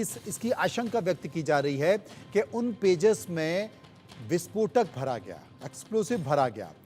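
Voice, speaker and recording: A man's voice: a little high, a little mellow. A man anchoring a live news discussion, speaking emphatically and repeating phrases for effect. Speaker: news anchor hosting a panel.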